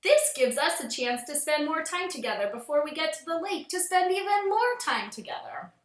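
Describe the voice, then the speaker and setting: A woman's voice: high-pitched, lively intonation, normal speed, voice pushed up. A woman voicing a pair of lovestruck newlyweds in a storybook, gushing and sing-song, recorded in a roomy space. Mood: dreamy, gushing. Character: lovestruck newlywed aunt and uncle speaking together.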